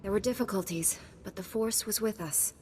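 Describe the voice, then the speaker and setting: An actress playing an earnest, composed heroic knight in a video game cutscene. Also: female voice, medium pitch, normal speed, darkish tone, in a large hall, a little hiss or hum, natural voice. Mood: earnest, resolute.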